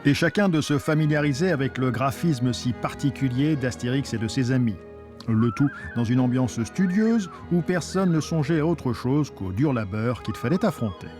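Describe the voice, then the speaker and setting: A man's voice: mid-range voice, lively intonation, moderate pace. A man reading scripted documentary narration. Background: music.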